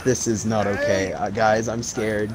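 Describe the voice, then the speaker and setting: A man's voice: lowish voice, little pitch movement, a little slow, heavy background noise. A man in casual game chat, panicky and pleading as he plays a survivor. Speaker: gamer playing a survivor.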